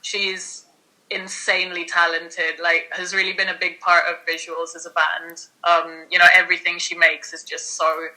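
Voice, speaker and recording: A woman's voice: on the low side, level tone, normal speed, sharp tone, poor audio. A young woman from Greece in a band, talking eagerly in a casual interview, with many fillers and restarts. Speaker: metal band member.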